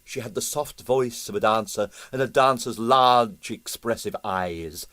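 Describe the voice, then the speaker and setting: A man narrating a comic novel as an audiobook, with dry, expressive storytelling. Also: mid-range voice, a little slow.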